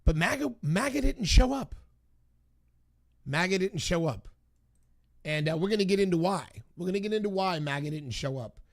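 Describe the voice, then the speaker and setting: A man with a hoarse voice hosting a casual political commentary video, talking in an indignant, emphatic and repetitive way. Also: high-pitched, lively intonation, normal speed, long pauses. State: hoarse from singing.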